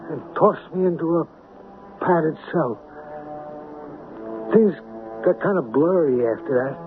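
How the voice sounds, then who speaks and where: An actor playing a brash, slangy American hustler turned repentant millionaire in a 1970s radio drama. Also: male voice, a little high. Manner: slangy, emphatic. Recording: tinny, hissy old radio broadcast.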